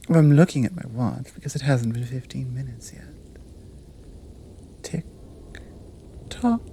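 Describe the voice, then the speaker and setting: A British woman playing a tall, dominant monster woman in an ASMR roleplay, seductive, predatory and teasing, coaxing and commanding with breathy murmurs. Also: low voice, a little mellow, heavily acted. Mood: impatient, teasing. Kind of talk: ASMR roleplay audio drama.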